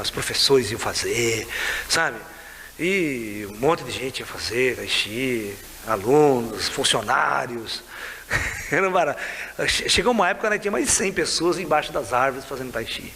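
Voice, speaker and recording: Older Brazilian man, bright lively storytelling. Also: medium pitch, normal speed. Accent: Brazilian Portuguese. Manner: lively, laughs often, keeps asking "né?" and "sabe?". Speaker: tai chi teacher. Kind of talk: casual storytelling to camera. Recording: reverberant room with faint hiss.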